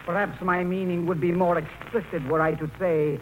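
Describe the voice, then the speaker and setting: An actor playing a pompous older husband who philosophizes in grand, precise words, 1940s radio drama style. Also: male voice, sits high, flat delivery, normal speed, natural voice. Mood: haughty. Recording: muffled, faintly noisy vintage radio recording.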